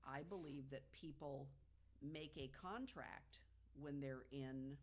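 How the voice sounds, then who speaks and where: A woman in a casual podcast discussion, stating her views in a measured, firm way. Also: a bass voice.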